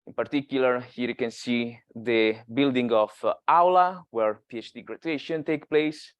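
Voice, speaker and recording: A man's voice: medium pitch, moderate pace, clean tone, no echo. A man in his thirties from Italy with an Italian accent, giving an academic seminar talk, hesitant with many filler sounds. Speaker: guest speaker.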